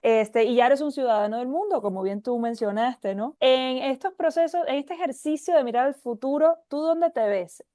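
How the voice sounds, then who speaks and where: High, mellow-voiced woman interviewer. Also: normal speed, hardly any pauses, no room sound, clean studio sound. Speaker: podcast interviewer and host. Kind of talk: conversational interviewing.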